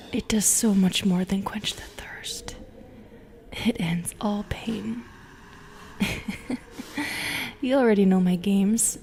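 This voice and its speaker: Slow, breathy, brooding Medusa played by a woman. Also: medium pitch, warm tone, a little hiss or hum. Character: bitter, seductive, lonely female Medusa who turns people to stone. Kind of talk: intimate audio roleplay acting.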